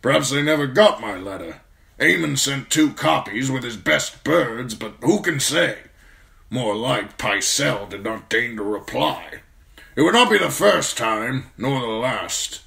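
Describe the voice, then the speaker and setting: A grim old commander. He grumbles bluntly and irritably, projecting loudly at a pitch well above a murmur, gruff and weary of it all. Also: animated, a little slow, full character voice. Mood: bitter.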